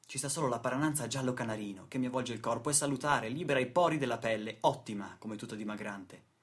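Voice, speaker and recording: Guy playing worn out angry factory worker, radio drama monologue, mid pitched, goes from muttering to outbursts. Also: fast, lightly acted. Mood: bleak, weary. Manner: dramatic, stammering repetitions, swings from muttering to outbursts. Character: weary, indignant male factory worker telling his grim story. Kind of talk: theatrical monologue in a radio play.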